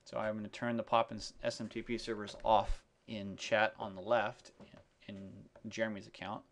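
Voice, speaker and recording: A man giving an unscripted screencast tutorial in a halting, explanatory, step-by-step manner. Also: fairly low pitch, little pitch movement, a little hiss or hum. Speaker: software demonstrator. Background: keyboard typing and mouse clicks.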